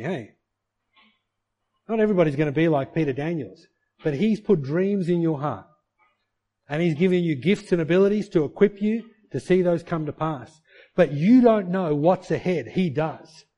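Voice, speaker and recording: A man's voice: on the high side, warm tone. A middle-aged male pastor preaching a sermon to his church, earnest and full of rhetorical questions.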